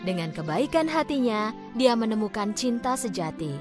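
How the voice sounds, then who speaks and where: A woman narrating a children's fairy tale in an expressive, scripted storytelling style. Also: a little high, normal speed. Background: background music.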